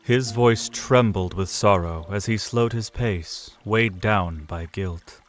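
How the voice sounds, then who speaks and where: A man narrating an audio drama in a measured storytelling manner. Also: on the low side, slight background noise. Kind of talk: scripted narration.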